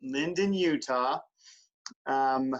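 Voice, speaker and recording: A man's voice: mid-range voice, very slow, lo-fi sound. A man in his forties introducing himself and his siblings in an easygoing, joking way with some fillers. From Idaho, USA. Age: 40s. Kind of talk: casual team introduction.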